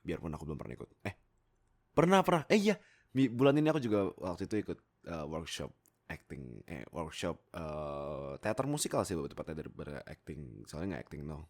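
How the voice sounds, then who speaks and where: A man's voice: rumbling low, sing-song, a little fast. A young man with an Indonesian accent telling personal stories in a casual, rambling, self-deprecating way into a desktop microphone. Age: young adult. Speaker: YouTube content creator and amateur voice actor. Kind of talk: casual chatty personal storytelling. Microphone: Fifine K690 desktop USB microphone.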